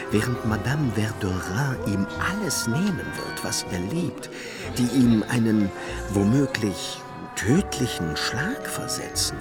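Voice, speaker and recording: An actor playing a pedantic, verbose scholar in a radio drama, lecturing in a pompous, ornate way. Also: male voice, lowish voice. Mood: troubled, confiding. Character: pedantic male professor, verbose, scholarly, conspiratorial moralist. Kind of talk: literary radio drama acting. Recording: studio recording with music behind.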